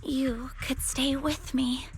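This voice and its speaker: A slow, bitter, grieving young woman. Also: sits high, flat delivery, poor audio. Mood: wistful, confessional. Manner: measured, wounded, accusatory. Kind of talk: dramatic video game voice acting.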